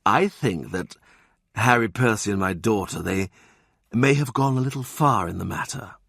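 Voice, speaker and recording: A man voicing a cold, smooth, poised nobleman who speaks in a measured, cautious way. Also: on the low side, fairly bright tone, no room sound, studio quality, exaggerated voice. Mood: guarded.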